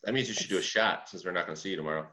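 A man's voice: sits low, rapid-fire, sharp tone. A man in his thirties chatting casually, hedging and poking fun at himself. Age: 30s. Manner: hedging, self-deprecating, easygoing. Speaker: guest. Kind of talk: casual chat.

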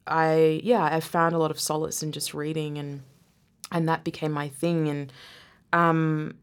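A woman's voice: sits low, level tone, measured pace, clear, resonant tone. A woman who grew up in Zambia, talking reflectively as a guest in a casual interview, often restarting her sentences. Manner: reflective, many restarts and laughs.

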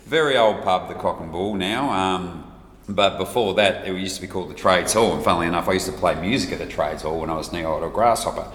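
A man's voice: deep voice, fast talker, fairly bright tone. A middle-aged Australian man chatting casually as a guest, in a rambling, jokey, self-deprecating way. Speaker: guest, whiskey brand ambassador. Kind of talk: casual chat. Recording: roomy reverberant space with faint noise.

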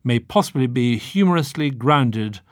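A man reading a literary audiobook in an expressive, wry, theatrical manner. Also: mid-range voice, moderate pace, no room sound, studio quality. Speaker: audiobook narrator.

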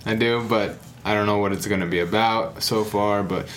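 A man's voice: lowish voice, steady tone, normal speed, a bit bright, slight background noise, rough recording. A young man around twenty, co-hosting a podcast in casual, upbeat chat. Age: young adult. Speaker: podcast co-host.

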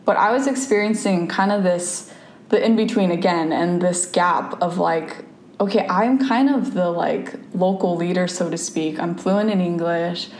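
A woman's voice: on the low side, a little slow, darkish tone. A young adult woman from Japan, speaking as a ministry guest in a conversational interview, thoughtful and full of fillers and restarts. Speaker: campus ministry staff, guest. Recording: roomy echo, faint background noise.

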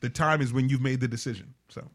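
A man's voice: medium pitch, fairly even intonation, fast, slightly rough. A man co-hosting a motivational talk show, reflective and affirming. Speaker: co-host.

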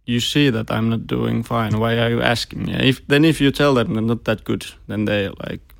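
A man hosting a casual podcast interview, chatty and a little halting. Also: medium pitch, fairly even intonation, creaky, dry recording. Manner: chatty, slightly halting, repeats words. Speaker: podcast host.

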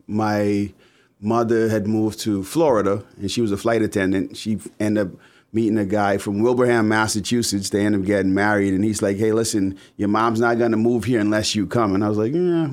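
A man in his forties from the Bahamas, an entrepreneur guest, talking fast and stumbling over repeated words. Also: lowish voice, steady tone. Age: 40s. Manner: talkative, repeats words as he starts. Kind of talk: casual interview chat.

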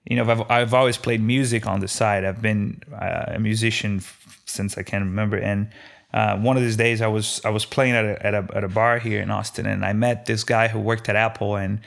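Creaky-voiced man with faint Brazilian accent. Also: fairly low pitch, level tone. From Brazil, Belo Horizonte. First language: Portuguese. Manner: halting, repeats words while thinking. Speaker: restaurant owner being interviewed. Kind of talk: casual interview storytelling.

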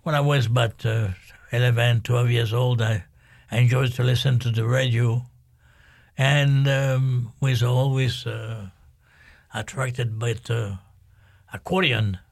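An older man from Algeria, a guest musician, talking in a casual interview in a halting way, with many pauses and fillers. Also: mid-range voice, steady tone, creaky.

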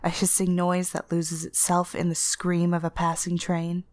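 Lowish, dry-sounding woman narrating fiction. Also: monotone, normal speed, darkish tone, no echo. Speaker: story narrator. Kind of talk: dramatic literary first-person narration.

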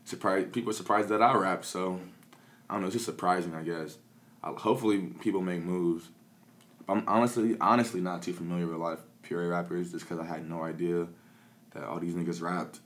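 A young man from the American Midwest, a rapper, chats in an easygoing, mumbling way, his words full of "like" and casual swearing. His voice sits very low and deep. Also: steady tone, brisk, fairly bright tone. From Peoria, Illinois. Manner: laid-back, mumbly, frequent "like" fillers and swearing. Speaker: rapper and producer. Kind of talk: casual interview chat.

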